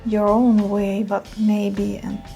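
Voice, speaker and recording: Slow, mellow woman guiding a meditation. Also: mid-range voice, level tone, vocal fry, faint music behind. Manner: soothing, unhurried, gentle pauses. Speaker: meditation guide. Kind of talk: guided meditation.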